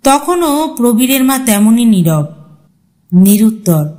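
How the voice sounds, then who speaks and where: A woman's voice: fairly high pitch, very animated, slow, mellow. A woman storyteller giving a dramatic scripted narration of a horror tale.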